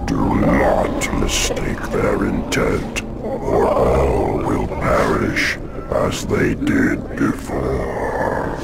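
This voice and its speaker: An actor playing an ancient, immense parasitic hive-mind monster in a game cutscene, solemn and commanding, with a rumbling gravelly voice and measured, oracular phrasing. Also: male voice, very noisy, full character voice. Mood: grim warning. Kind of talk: slow, theatrical game cutscene monologue. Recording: game audio under loud music.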